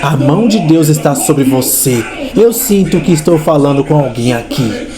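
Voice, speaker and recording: A man's voice: fairly high pitch, lively intonation, normal speed, natural voice. A man dubbing a fervent preacher in a sermon, speaking emphatically and with rhetorical repetition. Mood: fervent proclamation. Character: fervent male preacher exhorting a congregation. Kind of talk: dubbed sermon. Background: music.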